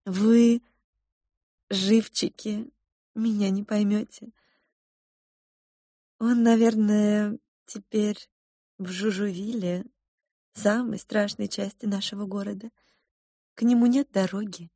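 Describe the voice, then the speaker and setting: A woman voicing a melodramatic female royal cook who sighs and speaks in hushed, drawn-out phrases for a storybook reading. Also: medium pitch, fairly even intonation, no room sound, studio quality, pitched above the performer's own voice. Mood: sighing, hushed. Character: fretful, melodramatic junior royal cook, a mother.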